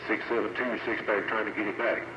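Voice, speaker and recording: A man's voice: lowish voice, little pitch movement, a little fast. A man calling out over a CB radio transmission. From Tennessee, United States. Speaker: CB radio operator. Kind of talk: CB radio call-outs. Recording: echoing, muffled, very noisy CB radio.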